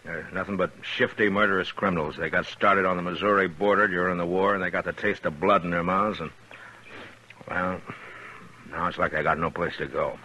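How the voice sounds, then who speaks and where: An actor playing a calm, tough, fair-minded American frontier marshal in 1950s western radio drama, speaking in a measured, plain way with thoughtful pauses. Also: male voice, low-pitched. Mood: firm, reasoning. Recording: noisy vintage 1950s radio recording.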